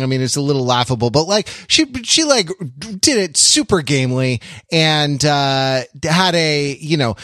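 Guy hosting a chatty podcast, thinks out loud and wanders, medium pitch, very dry recording. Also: no room sound. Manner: halting, digressive, thinking aloud. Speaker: podcast host. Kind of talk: casual podcast conversation.

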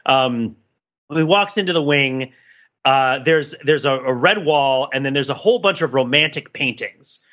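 A man's voice: mid-range voice, normal speed, fairly bright tone, lo-fi sound. A man on a podcast giving casual, analytical film commentary in a wordy, playful, explanatory way. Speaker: podcast panelist.

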